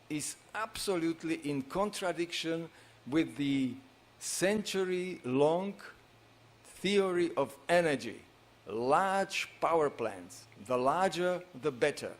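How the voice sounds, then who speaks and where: A middle-aged man with a Slovak accent giving a conference talk, halting and full of pauses, at a stage microphone. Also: a little high. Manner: halting, many filler pauses, non-native phrasing. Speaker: conference speaker. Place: large conference hall on stage.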